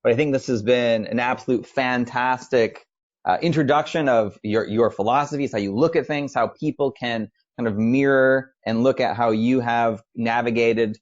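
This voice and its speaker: A man's voice: medium pitch, normal speed. A man hosting an interview, giving short affirmations and wrapping up with a long, friendly question. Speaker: podcast host. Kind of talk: interview host. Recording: muffled lo-fi audio.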